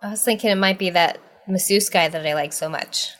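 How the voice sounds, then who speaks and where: A woman's voice: medium pitch, brisk, a bit bright. A woman co-hosting a comedy podcast with casual banter and playful quips. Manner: playful, quippy interjections. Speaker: podcast co-host.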